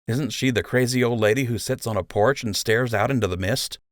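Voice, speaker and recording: A man's voice: medium pitch, brisk, no room sound, voice pushed up, natural voice. A man voicing an earnest, self-doubting young male rabbit soldier with dry humour in an audiobook. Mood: stubborn.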